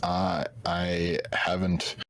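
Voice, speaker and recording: A man's voice: low voice, monotone, drawn-out words, vocal fry, no room sound. A man from Canada, a guest on a casual stream, laid-back and blunt with short answers.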